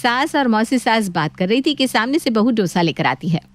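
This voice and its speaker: Female storyteller, fairly high voice, scripted moral-tale narration with a music bed. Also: animated, moderate pace. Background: background music.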